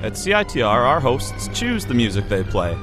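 Wildly expressive male promo announcer. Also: medium pitch, normal speed. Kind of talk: scripted radio station promo. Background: music.

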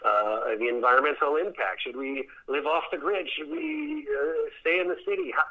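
A man speaking as a theatre director in a conversational interview, reflective and halting, often repeating words as he thinks. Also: fairly high pitch, lively intonation, narrow, tinny audio.

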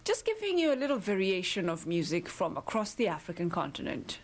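Woman, community radio host, casual and chatty, mid-pitched voice. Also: fairly quick, heavy background noise. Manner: relaxed, chatty, with frequent fillers.

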